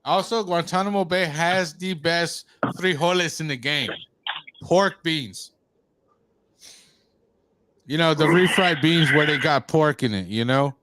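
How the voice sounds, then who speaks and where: Podcast host, male, fairly high voice, loose, rambling, laughs a lot. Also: measured pace, long pauses, fairly bright tone, slight background noise, rough recording. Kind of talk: casual podcast banter.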